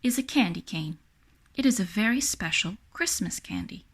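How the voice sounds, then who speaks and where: A kindly man who keeps a candy store , as a woman reader plays him. He speaks earnestly, with a gentle, solemn way of explaining, as in a children's storybook. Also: medium pitch, lively intonation, normal speed, slightly rough.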